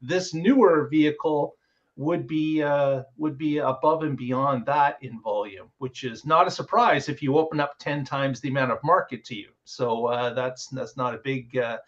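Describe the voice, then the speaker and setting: A man's voice: mid-range voice, normal speed, fairly bright tone, muffled recording. A man hosting a casual podcast, talking through the week's news with many filler words. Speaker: podcast host.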